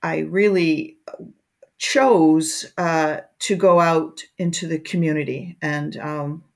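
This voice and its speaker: An older woman with a low voice talks through her thoughts in an interview. She pauses often with fillers and restarts her sentences. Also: fairly even intonation. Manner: reflective, many fillers and restarts. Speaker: guest, education researcher. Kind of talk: conversational interview.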